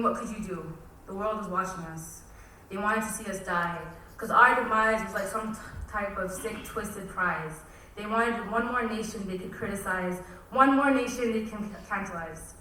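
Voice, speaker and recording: A young woman reciting a spoken word poem at a community event, with a measured, solemn delivery. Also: medium pitch, bright, forceful tone. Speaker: poet performing at a cultural event. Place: large event hall. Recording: echoey hall from far off, faint noise.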